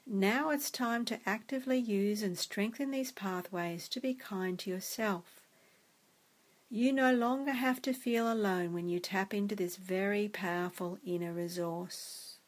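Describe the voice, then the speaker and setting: A woman guiding a meditation in a soft, gentle, soothing way. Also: mid-range voice, a little slow. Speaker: meditation guide. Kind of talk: guided meditation narration.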